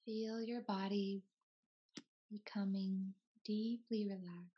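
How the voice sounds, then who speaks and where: Woman guiding meditation very slowly. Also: medium pitch, fairly even intonation, slightly rough, muffled recording. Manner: soothing, unhurried, short phrases with long pauses. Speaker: yoga teacher leading a guided meditation. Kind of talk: slow guided meditation narration.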